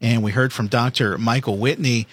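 A man's voice: mid-range voice, fairly even intonation, normal speed, a bit bright. A man hosting a live college radio show in an upbeat, chatty way with frequent fillers. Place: radio studio.

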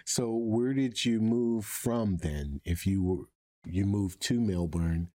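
A man hosting an upbeat conversational interview, effusive in manner, with a husky voice. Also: lowish voice, slow, warm tone. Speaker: show host interviewing a guest.

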